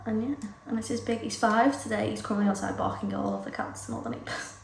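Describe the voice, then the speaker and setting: A woman's voice: medium pitch, very animated, quick pace, creaky, far from the mic. A British woman chatting casually to camera for a vlog, rambling with lots of fillers while she has a cold and sore throat. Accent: British English. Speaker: vlogger. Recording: echoey room, off-mic, loud background noise.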